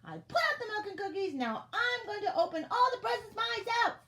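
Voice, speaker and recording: Woman voicing a high, perky little storybook elf girl. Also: a little fast, roomy sound. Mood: indignant. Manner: sweet, perky, expressive. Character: cheerful, industrious little female elf, eager and long-suffering. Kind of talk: children's storybook character voice.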